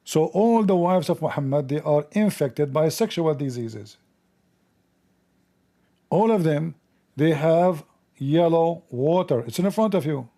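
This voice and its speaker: Male host, gravelly, mellow and dark-toned, halting non-native English, mocking commentary. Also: fairly high pitch, expressive. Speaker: channel host. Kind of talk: casual commentary, quizzing an AI assistant.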